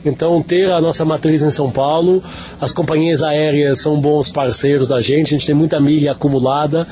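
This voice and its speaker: A man with a Lisbon Portuguese accent talks as an executive guest on a radio interview. His voice is soft and mellow, somewhat high, and he rambles with fillers and restarts. The broadcast sound is dull and lo-fi. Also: steady tone, brisk. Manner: talkative, many fillers and restarts.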